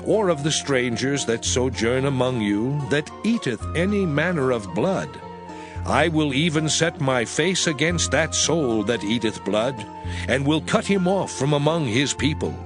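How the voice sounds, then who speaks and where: A man narrating scripture in a solemn, expressive scripted reading. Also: mid-range voice, a little slow. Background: music.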